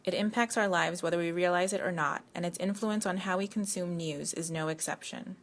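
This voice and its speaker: Low-voiced woman reading narration. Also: fairly quick. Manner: even, read-aloud delivery. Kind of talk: scripted narration. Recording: faint background noise.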